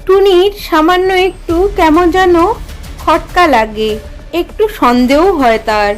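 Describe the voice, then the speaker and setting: The woman narrator between scenes of an animated bedtime story, high-pitched, with soft music underneath. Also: a little slow. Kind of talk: scripted storytelling for children's cartoon.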